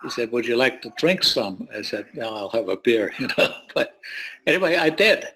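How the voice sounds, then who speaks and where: An elderly man with a middle-pitched voice recounts his own history as an interview guest. He speaks in a wry, anecdotal, plain-spoken manner, like a retired doctor looking back on his career. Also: big pitch swings, moderate pace, a bit bright, slightly rough, rough recording. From born in Poland, grew up in the United States. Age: 70s or older. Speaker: guest, retired surgeon and medical advisor. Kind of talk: autobiographical storytelling in an interview.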